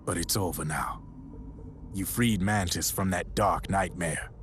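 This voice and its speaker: An actor playing a gruff arms dealer in a video game, narrating a dark war story in a grim, measured way. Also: male voice, low-pitched, a little hiss or hum. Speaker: storyteller character. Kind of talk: dramatic scripted narration.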